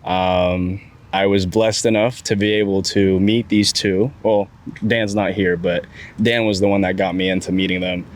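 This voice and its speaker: A man's voice: low-pitched, level tone, moderate pace. Laid-back young man, slangy college talk. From Philippines. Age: young adult. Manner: laid-back, slangy, says dude and bro a lot. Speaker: guest. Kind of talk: casual chat.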